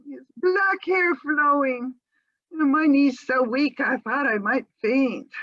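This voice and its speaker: An actress performing a dramatic monologue as a lovesick woman, earnest and breathless, with theatrical pauses. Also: high voice, a bit bright, no room sound, lo-fi sound, heavily acted. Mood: rapturous. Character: lovesick woman nervously reunited with her beloved dog.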